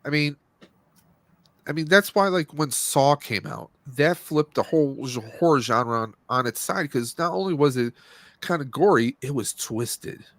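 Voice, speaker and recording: A man's voice: medium pitch, fairly bright tone, dry recording. Blunt man chatting on a movie podcast, dry sound. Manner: opinionated, blunt, rambling. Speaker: podcast host. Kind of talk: casual movie review chat.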